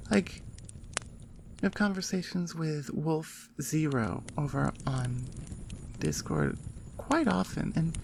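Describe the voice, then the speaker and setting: A woman talks informally to her listeners in an unhurried, rambling way. Her voice has a lot of vocal fry, and she is self-deprecating and casual. There is clear noise behind her. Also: on the low side. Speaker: ASMR audio creator and host.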